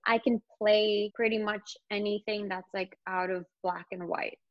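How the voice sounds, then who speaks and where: A woman's voice: mid-range voice, steady tone, measured pace, no room sound. A young woman in casual conversation about her acting work, talking in a loose, chatty way with lots of "like.". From India. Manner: chatty, fillers like "like". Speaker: actor guest. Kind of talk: casual chat. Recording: slightly dull, lo-fi sound.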